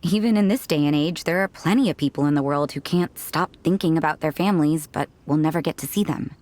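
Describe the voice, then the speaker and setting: A woman's voice: low voice, quick pace, a little mellow, lightly acted. A woman acting a cool, cynical, aloof character in a video game, with a dry, detached delivery.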